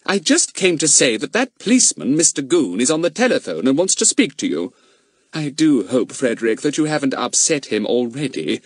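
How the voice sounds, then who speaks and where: A man's voice: mid-range voice, very animated, fairly quick, no room sound, studio quality, pitched above the performer's own voice. A man voicing an impatient middle-aged mother who scolds her son. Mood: scolding.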